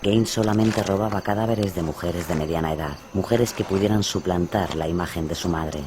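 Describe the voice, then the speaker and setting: A man's voice: low voice, moderate pace, noisy background. A man reading scripted, dramatic true-crime narration as the narrator of a radio horror play. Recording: music behind the voice.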